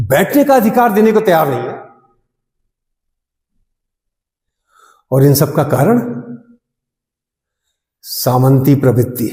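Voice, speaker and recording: A man's voice: a little high, vocal fry, a little mellow. Echoey, impassioned male courtroom lawyer played by an actor. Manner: oratorical, emphatic repetition, dramatic pauses. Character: impassioned male army defence lawyer, bitterly ironic. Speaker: actor performing an audition monologue. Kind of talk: theatrical courtroom monologue. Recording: echoey reverberant room.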